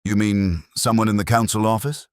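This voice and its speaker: A man's voice: fairly low pitch, little pitch movement, brisk, dry recording, studio quality, pitched above the performer's own voice, natural voice. A man doing a puzzled, questioning sergeant.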